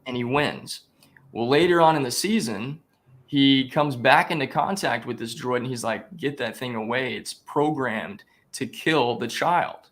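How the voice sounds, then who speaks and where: A man speaking at a middle pitch, a guest on an interview show, telling a story in a relaxed, conversational way. He acts out the lines he quotes, and his delivery is lively and engaged. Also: unhurried. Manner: animated retelling with quoted dialogue. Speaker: guest, spiritual growth coach.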